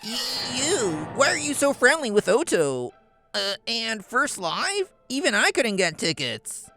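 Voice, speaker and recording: A man's voice: very high voice, very animated, measured pace, bright, forceful tone, soft background music, exaggerated voice. A man playing a vain, envious young office worker in a manga story, with a smug, indignant manner. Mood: indignant, flustered. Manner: indignant, smug, stammering when flustered.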